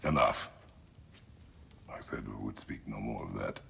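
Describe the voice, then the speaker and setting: An actor playing a stern, protective warrior father in a video game, gruff and gravelly, speaking in terse formal commands. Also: expressive, measured pace, pushed and bright, a little hiss or hum, full character voice. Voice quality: deep, gravelly. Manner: terse, commanding, formal phrasing without contractions. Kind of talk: dramatic video game acting.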